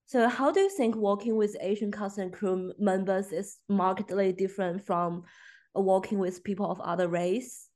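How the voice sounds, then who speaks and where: A woman's voice: medium pitch, fairly even intonation, moderate pace, darkish tone. A woman interviewer who asks probing questions and answers with short acknowledgements.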